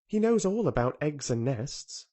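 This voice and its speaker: A headstrong little girl from a storybook, raised high above the man's own voice and swinging with lively melody. She talks earnestly and bluntly, never softening for anyone. A male reader puts her on. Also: unhurried. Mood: eager. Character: blunt, contrary young girl.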